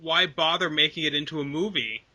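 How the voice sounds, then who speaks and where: A man's voice: fairly high pitch, fairly quick, crowd noise. A man co-hosting a casual gaming podcast, laughing easily and moving the talk along. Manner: laughs easily, steers the topic list. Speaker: podcast co-host. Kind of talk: casual chat.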